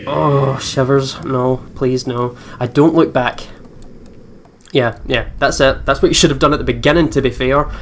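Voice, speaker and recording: A man's voice: mid-range voice, fairly even intonation, moderate pace. A man hosting a let's play, reading story text aloud and breaking in with reactions and asides. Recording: clear background noise.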